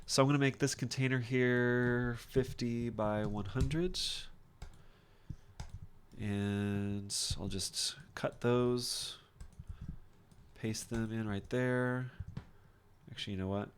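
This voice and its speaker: A man teaching software in a casual screen-share tutorial, thinking aloud informally as he demonstrates each step. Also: mid-range voice, fairly even intonation, slow, long pauses, slight background noise. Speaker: software instructor.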